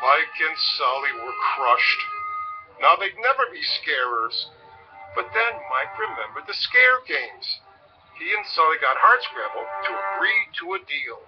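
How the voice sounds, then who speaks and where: Male children's storybook narrator, expressive and fairly high, scripted read, music underneath, lo-fi sound. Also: a little slow. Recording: muffled playback with music behind.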